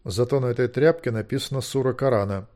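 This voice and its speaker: A man voicing a gloomy, unflappable male traveller in a fantasy audiobook, terse and deadpan. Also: medium pitch, quick pace, close to an everyday voice.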